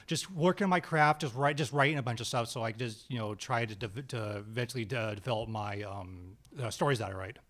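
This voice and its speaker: A man answers interview questions in a small studio, close to a desk stand mic. He talks casually and haltingly, restarting his sentences as he rambles along. His voice sits at an ordinary, middling pitch. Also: a bit bright. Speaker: guest actor and screenwriter.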